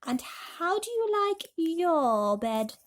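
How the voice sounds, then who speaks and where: A woman's voice: very high-pitched, big pitch swings, slow pace, voice pushed up, heavily acted. Woman as a genteel, kindly lady spider. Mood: attentive. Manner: polite, attentive, genteel. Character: courteous, kindly motherly female spider.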